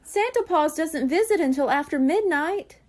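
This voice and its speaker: An excited little kitten girl from a storybook, pleading and impatient, her voice climbing high and swinging all over. A woman reads her aloud. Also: normal speed. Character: eager young girl kitten mermaid.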